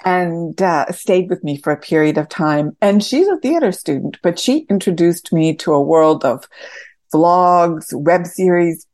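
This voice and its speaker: A woman's voice: lowish voice, expressive, a little slow, darkish tone, no room sound. A woman theatre director answering questions reflectively as an interview guest.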